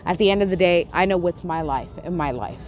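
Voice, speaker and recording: A woman's voice: lowish voice, a little fast, slight background noise. A woman who stutters and has a lisp, ranting angrily at her livestream chat, swearing and restarting her sentences. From Texas. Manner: angry, swearing, repeats and restarts, confrontational. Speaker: YouTuber on a livestream. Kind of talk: heated livestream rant to chat.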